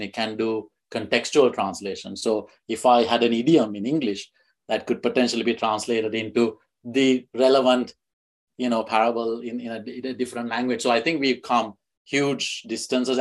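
A man's voice: mid-range voice, steady tone, normal speed. A man from Sri Lanka, a guest on a podcast, answering questions with many restarts and fillers. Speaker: guest, fintech executive.

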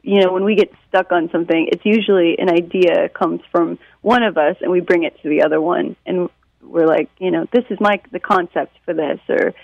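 An American woman from Detroit, a musician, answering interview questions in a casual, animated storytelling way with a creaky voice. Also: fairly low pitch, brisk, no echo, lo-fi sound. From Detroit, United States. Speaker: musician and songwriter being interviewed.

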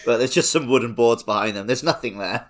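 A man's voice: mid-range voice, fast. A man casually hosting a comedy quiz podcast, chatting and reading out questions.

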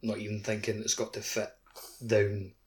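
A man's voice: on the low side, monotone, normal speed, crowd noise. A man on a podcast chatting casually, with a colloquial, anecdotal way of talking. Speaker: podcast host. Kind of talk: casual chat.

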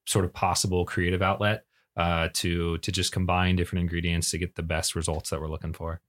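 Low-voiced man, halting casual talk. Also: little pitch movement, fairly bright tone. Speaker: podcast co-host and mental health clinician. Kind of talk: casual chat.

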